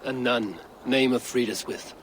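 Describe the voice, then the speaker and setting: An actor playing a stern, stoic Viking raider in a video game, grim, terse and resolute. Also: male voice, mid-range voice, measured pace, lightly acted. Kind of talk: dramatic video game acting. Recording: clear background ambience and noise.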